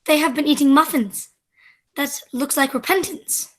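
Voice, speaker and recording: A woman's voice: sits high, fairly even intonation, normal speed, lightly acted. A woman acting a prim, romantic young society girl with formal speech in a radio play comedy.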